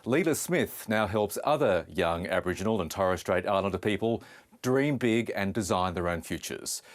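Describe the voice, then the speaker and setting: A man presenting the news, introducing a report in a formal, clear delivery. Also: on the low side.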